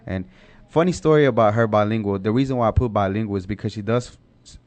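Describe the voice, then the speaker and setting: A man's voice: on the low side, fast talker, darkish tone. A man whose first language is Spanish, hosting a casual podcast chat and rambling with lots of self-corrections. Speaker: podcast host.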